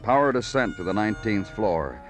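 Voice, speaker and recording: Brooding low-voiced radio noir detective. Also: male voice, sing-song, normal speed. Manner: clipped, brooding, lyrical narration. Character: world-weary, poetic police homicide detective narrating his case. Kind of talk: 1940s-50s radio noir acting. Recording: old radio recording with music.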